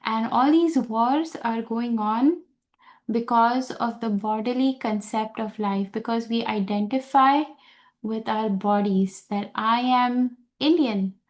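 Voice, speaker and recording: A woman giving an unscripted scripture class, talking slowly with many fillers and restarts as she searches for words. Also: on the high side.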